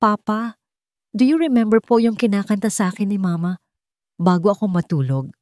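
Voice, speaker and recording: A woman narrator acts out a small, sickly Filipino boy in a love-story drama. The voice is lifted high and is soft, polite and childlike, recorded dry. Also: normal speed, dry recording, lightly acted. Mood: wistful. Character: small, sickly, polite little boy missing his mother.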